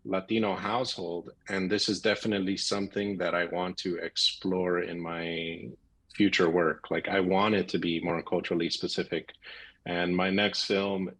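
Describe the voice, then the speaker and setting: A man with a very deep voice and an American accent talks in a casual interview. He is a film director answering in a conversational way. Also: flat delivery, moderate pace, fairly bright tone. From Miami, United States.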